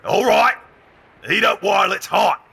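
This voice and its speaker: A rough, good-natured galley cook with a harsh, piercing rasp. His voice creaks and grates at a raised pitch while he talks slowly. It is a character performance for an audio drama. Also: pushed and bright, exaggerated voice. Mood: gruffly friendly. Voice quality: gravelly, raspy. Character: scarred, rough but friendly male ship's cook.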